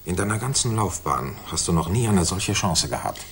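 An actor playing an important, weighty government official, a detective's brother, in a 1960s German radio drama. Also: male voice, sits low, lively intonation, moderate pace, slight background noise. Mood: weighty, urgent.

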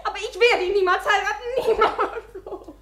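A proud, temperamental young noblewoman mocks and commands in a high-strung way. Her voice is very high. A faint hiss from the old recording sits under her. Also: steady tone, normal speed, sharp tone, reverberant, exaggerated voice. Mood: hysterical. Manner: high-strung, mocking, imperious. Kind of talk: 1950s radio play acting.

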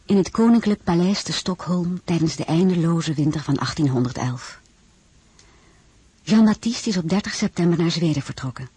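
A candid young royal recounting her life in a radio drama. The voice is strongly husky and breathy, measured and a little wistful. It is recorded dry, without room sound. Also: on the low side, warm tone, dry recording. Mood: reflective. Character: French-born crown princess, candid, wry, wistful narrator. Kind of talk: radio drama narration and dialogue.

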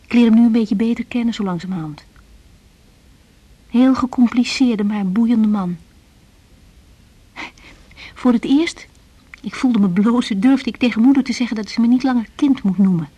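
An earnest, weary young doctor in a radio play. Her voice is fairly high and a little husky, and she speaks intimately in short, reflective phrases. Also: normal speed, long pauses, dark tone. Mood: wistful. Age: young adult. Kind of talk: radio drama acting with diary-like monologue.

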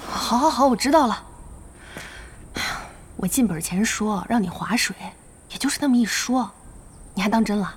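A woman playing a brisk young team leader in a Chinese audio drama, practical and placating with a bossy edge. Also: fairly high pitch, expressive, husky. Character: brisk young female team leader, peacemaking, mildly exasperated.

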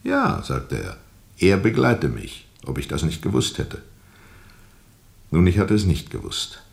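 A deep-voiced, brooding riverboat captain. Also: male voice, wildly swooping pitch, creaky, full character voice. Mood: uneasy, doubtful. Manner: deliberate, reflective, formal literary phrasing, hesitant corrections. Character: brooding, world-weary male riverboat captain recounting a journey. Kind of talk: literary radio drama monologue.

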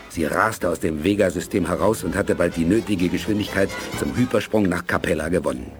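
A man narrating a science fiction radio play in a scripted, sober manner, with music under his voice. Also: low voice. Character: sober narrator of a space adventure.

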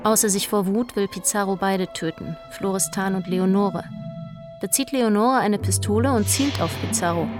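A woman narrates a scripted opera synopsis in a dramatic present tense. Her voice is medium-pitched, with music clearly playing behind her. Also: moderate pace, a little mellow.

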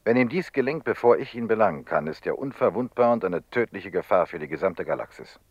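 Grave determined investigator, low voice, solemn radio drama speechifying, dry slightly dull sound. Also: male voice, animated, a little fast, no room sound. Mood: urgent, ominous. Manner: solemn, rhetorical, insistent. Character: grave, determined man, telepath investigator urging drastic action. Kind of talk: German radio drama acting.

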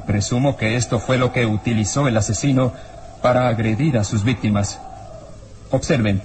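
A man's voice: medium pitch, fairly even intonation, moderate pace, noisy background, close to an everyday voice. A man playing a grave police inspector in an old radio serial, declaring things in an emphatic, dramatic way. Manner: declarative, dramatic, demonstrative delivery.

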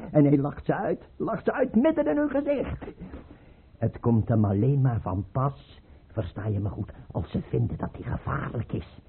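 An actor playing a paranoid, scornful tsar in a radio play, imperious and abrupt, with a husky, rough voice. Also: male voice, medium pitch, big pitch swings, normal speed, exaggerated voice. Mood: scornful, menacing. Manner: imperious, abrupt, mocking, swinging from mutter to outburst. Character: paranoid tyrant tsar, erratic, scornful, suspicious father. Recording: muffled, tinny old radio play recording.

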